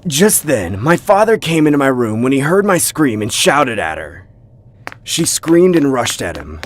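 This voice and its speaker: A man's voice: medium pitch, sing-song, a little slow, shouting. A man narrating a scripted horror story in the first person, with a dramatic storytelling manner.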